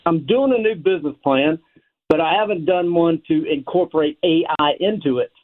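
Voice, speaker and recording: A man's voice: fairly high pitch, moderate pace. An older American man calling in to a radio show by phone, chatting casually in a folksy, self-deprecating way. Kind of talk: casual chat. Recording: narrow tinny phone line.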